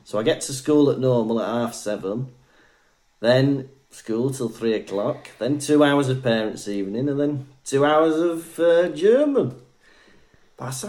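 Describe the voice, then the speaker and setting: A man's voice: mid-range voice, a little mellow. A young adult man from Wales co-hosting a podcast, chatting casually, telling stories and laughing often. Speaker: podcast co-host. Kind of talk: casual chat.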